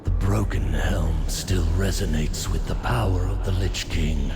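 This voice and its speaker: An ominous, commanding dark schemer in a game cinematic. His voice is very deep and slow, measured over swelling music. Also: fairly even intonation, vocal fry. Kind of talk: dramatic game cinematic acting.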